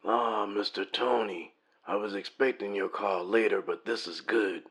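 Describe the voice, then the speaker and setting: A man playing a smooth-talking mob enforcer in an audio drama, husky and gravelly, polite with a veiled threat. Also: fairly low pitch, measured pace, fairly bright tone. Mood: coolly menacing.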